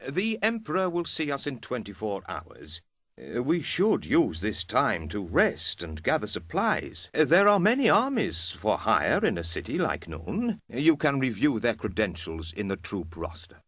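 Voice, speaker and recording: A businesslike army adviser in a fantasy game, mid-pitched with very animated intonation. The sound is close and dry. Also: male voice, a little slow, dry recording, heavily acted. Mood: practical, informative. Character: businesslike male adviser briefing his commander. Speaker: briefing narrator. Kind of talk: fantasy game mission briefing.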